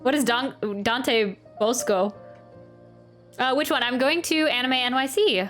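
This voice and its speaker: A woman's voice: high voice, unhurried. A woman streamer reacting to a game and chatting casually, rambling and often interrupting herself. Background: soft game music.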